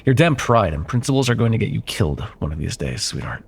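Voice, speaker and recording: A man's voice: fairly low pitch, very animated, fast talker, creaky, slight background noise. A man playing an arrogant, possessive corporate CEO ex-lover in a cyberpunk ASMR roleplay, sardonic and menacing, with condescending pet names. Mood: frustrated, persuasive. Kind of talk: ASMR roleplay, dramatic monologue.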